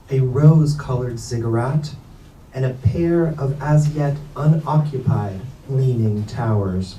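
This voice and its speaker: A man reading literary prose aloud at a staged book reading, with deliberate, measured delivery. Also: mid-range voice, warm tone. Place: bookstore event space. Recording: echoey room, distant mic, background noise.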